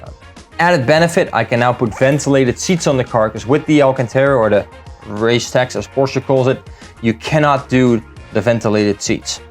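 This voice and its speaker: A man's voice: mid-range voice, normal speed, faint music behind. A man from the Netherlands, talking through a car build in a casual, matter-of-fact vlog style as a salesman would.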